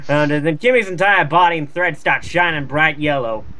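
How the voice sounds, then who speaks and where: Bright-voiced, sarcastic man reading aloud. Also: fairly high pitch, moderate pace. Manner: profane, sarcastic. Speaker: fan fiction reader and commentator. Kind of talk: mocking read-aloud with riffing commentary.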